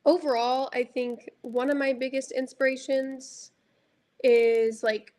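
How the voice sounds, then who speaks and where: A young woman from Dallas with a light, high voice, a music artist talking reflectively about her songs and filling her speech with casual fillers. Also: little pitch movement, slow, darkish tone. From Dallas, Texas. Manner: reflective, heavy use of filler words. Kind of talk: casual interview chat.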